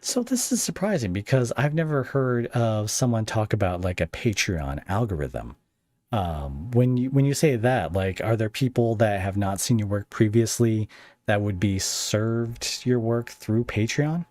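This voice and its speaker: A man's voice: lowish voice, lively intonation, unhurried, a little mellow, no echo. A man hosting a casual podcast interview, talking informally in a rambling, hesitant way.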